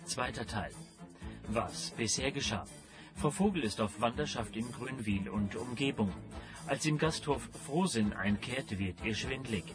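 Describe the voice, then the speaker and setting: Male radio announcer over music. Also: medium pitch. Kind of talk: scripted radio recap narration.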